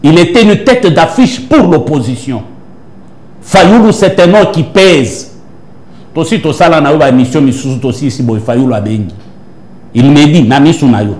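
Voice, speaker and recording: A man's voice: a little high, expressive, moderate pace, darkish tone. A man, a church prophet answering interview questions with an emphatic, preaching cadence. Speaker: prophet and church leader, guest.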